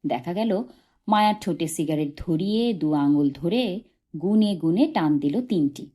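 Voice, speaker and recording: A woman reading literary fiction aloud as an audiobook, in expressive scripted narration. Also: on the low side, a little slow. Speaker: audiobook reader.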